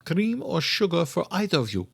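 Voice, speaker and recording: A guarded woman played by a male narrator in an audio thriller. The voice is lifted high, formal and clipped, with a dry, close sound. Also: very animated, moderate pace, vocal fry, a bit bright, slightly rough, dry recording, full character voice. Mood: polite. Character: guarded Polish woman, a doctor's formal assistant.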